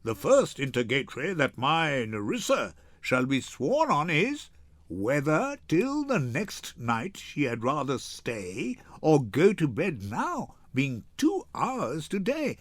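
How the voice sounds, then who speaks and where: An older man acts a rowdy, jesting young gentleman from a Shakespeare comedy. His voice sits fairly high and leaps about theatrically, relishing each phrase. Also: huge pitch swings, slow, no echo, heavily acted. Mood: merry, bawdy. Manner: boisterous, theatrical. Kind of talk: dramatic Shakespeare reading.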